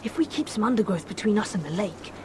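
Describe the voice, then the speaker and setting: A woman playing a bold, earnest schoolboy adventurer in a children's audio drama, brisk and full of old-fashioned slang. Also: medium pitch, very animated, noisy background, lightly acted. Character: bold, eager, adventurous schoolboy leading his friend.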